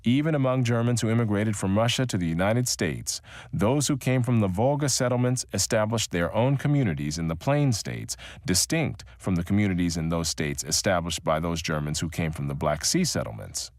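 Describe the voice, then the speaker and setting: A man reading a history audiobook in a measured, even-toned narration. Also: lowish voice, no echo, studio quality.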